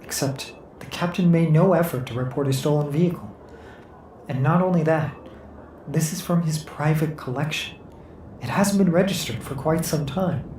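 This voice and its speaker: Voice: medium pitch, normal speed, a little mellow, a little hiss or hum, natural voice. An actor playing a serious, loyal investigating agent in a sci-fi audio drama, formal and precise. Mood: sober. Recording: echoey, faint music behind.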